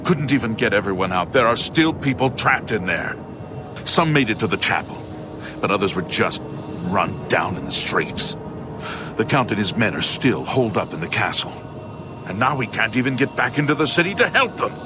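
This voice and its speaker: A man's voice: fairly low pitch, expressive, normal speed, over music, natural voice. A male actor playing a bitter, embattled city guard in a fantasy video game, with commanding, clipped phrases. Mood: bitter, anguished. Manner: forceful orders, clipped phrases. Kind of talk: dramatic fantasy game dialogue.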